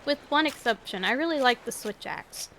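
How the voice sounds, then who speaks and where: A woman with a gravelly voice, chatting casually and a little self-deprecatingly while she plays a video game. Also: a little high, lively intonation, moderate pace, fairly bright tone, slight background noise. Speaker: gaming streamer. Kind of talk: casual commentary while playing. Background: game music.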